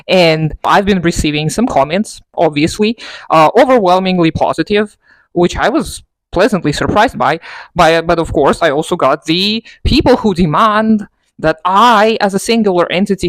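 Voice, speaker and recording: A woman's voice: lowish voice, dry recording, poor audio. A woman vlogger ranting unscripted to her camera, exaggerating for effect and mocking herself as she goes. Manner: exaggerating and self-mocking, with frequent laughter. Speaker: political video blogger. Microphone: camera mic.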